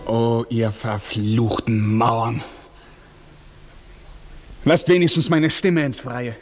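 An actor playing a desperate young imprisoned lover, pleading and praying in an impassioned 1950s German radio drama style. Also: male voice, a little high, a little mellow. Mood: defiant anguish.

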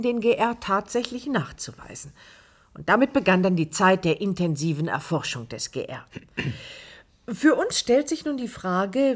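A female science broadcast moderator speaks at a middle pitch. She is courteous and articulate, putting probing questions in the manner of a studio interview in a radio drama.

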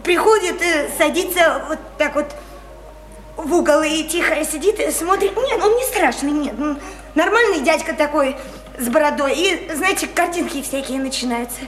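A woman's voice: high-pitched, moderate pace, close to an everyday voice. An actress playing a naive, odd, chatty young provincial woman in a radio play, rambling earnestly in simple folksy speech with hesitant fillers. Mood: dreamy wonder. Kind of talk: theatrical radio play dialogue. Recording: echoey, noisy stage radio play recording.

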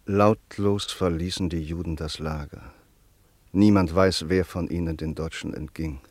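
A man narrating a radio play in a measured, sober way on a close studio mic. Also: sits low, fairly even intonation, darkish tone, no echo.

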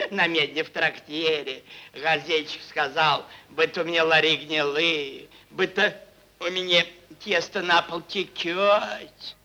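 A man's voice: on the high side, steady tone, takes it slowly, pushed and bright, exaggerated voice, low-quality recording. An actor playing a crude, mocking merchant boss who rambles and repeats his words in a theatrical stage style. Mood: mocking indignation. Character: crude, drunken, bullying male merchant boss, cynical and rambling. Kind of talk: theatrical stage acting, Russian classic prose.

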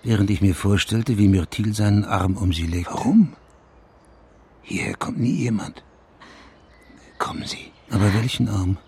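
A man playing a brooding, controlled senior police official in a radio crime drama, with a gravelly voice. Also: fairly low pitch, unhurried, long pauses, darkish tone, slight background noise. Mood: guarded, brooding. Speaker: actor in the lead role.

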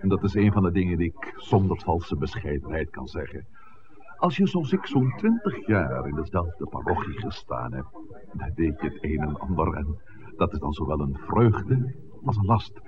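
An actor playing a genial, middle-aged village priest in a 1960s radio play, measured, wordy and gently self-assured. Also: male voice, a bass voice, big pitch swings, a crowd in the background. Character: genial, well-informed village priest of long standing. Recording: dull vintage radio recording, murmuring background.

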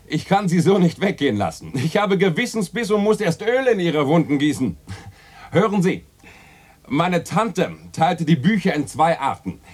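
An actor playing a jovial, teasing literary critic in a German radio play, husky and theatrical, speaking in florid, ironic phrases. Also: male voice, on the high side, very animated, normal speed.

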